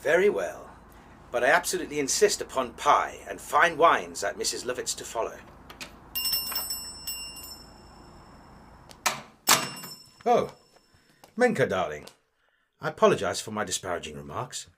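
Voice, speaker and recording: A man's voice: mid-range voice, huge pitch swings, brisk, pushed and bright, husky, heavily acted. A man playing a small, fussy, shrew-like alien actor in an audio drama, declaiming in a florid, plummy, theatrical manner. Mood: fussy, effusive. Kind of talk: scripted audio drama acting.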